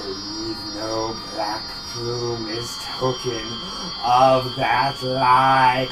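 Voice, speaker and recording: Slow, melodramatic man reciting gothic verse. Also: medium pitch, flat delivery, over music, rough recording. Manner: melodramatic, intense, swinging from hushed to shouted. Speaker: performer reciting a poem. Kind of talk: theatrical dramatic recitation of gothic horror verse. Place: carpeted basement living room with low tiled ceiling.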